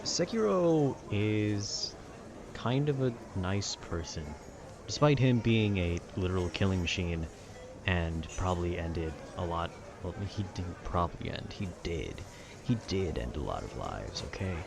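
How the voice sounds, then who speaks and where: A man casually rambling about a video game with nerdy enthusiasm, often halting to search for words. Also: low-pitched, expressive, creaky, over music. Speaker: voice actor making a rambling ambience video.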